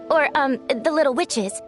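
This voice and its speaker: A woman voicing a gentle, courteous, slightly flustered young spirit in an anime-style video game. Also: high voice, full character voice. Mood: careful explaining. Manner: courteous, hesitant.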